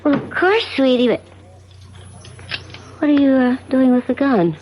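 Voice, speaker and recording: An actress playing a conniving, cheating wife, coaxing in manner, in a radio drama. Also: female voice, high voice, animated, unhurried, muffled recording, a little hiss or hum. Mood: startled, wary. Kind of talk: 1970s radio drama acting.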